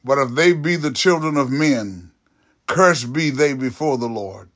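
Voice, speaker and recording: A man's voice: medium pitch, unhurried, a bit bright. A man reading the lines of an earnest young warrior pleading with a king, his voice a little raised.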